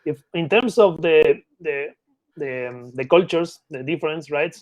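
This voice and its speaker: Male interview guest, Argentine Spanish accent, slightly higher-pitched, close dry sound, conversational expert explaining with frequent check-in tags. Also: a little slow, dry recording, highs cut off. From Patagonia, Argentina. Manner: repeatedly checks in with short tag questions. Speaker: interview guest, mergers and acquisitions consultant.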